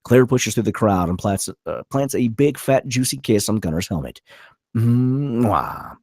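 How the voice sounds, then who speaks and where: A man's voice: medium pitch, expressive, measured pace, a little mellow, no echo, clean studio sound. A man narrating stage directions at a live screenplay table read.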